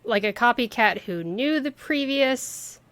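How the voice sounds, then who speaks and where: A woman's voice: a little high, expressive, unhurried, a bit bright, no room sound. A woman livestreamer giving casual, off-the-cuff commentary while playing a video game.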